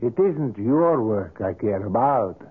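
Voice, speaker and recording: Formal, mysterious gentleman artist, thin radio sound. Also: medium pitch, lively intonation, takes it slowly, husky. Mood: smooth, insistent. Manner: formal, polite, hesitant, precise. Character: courteous, sly, mysterious male portrait sculptor. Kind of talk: 1970s radio drama acting. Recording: thin lo-fi old radio broadcast.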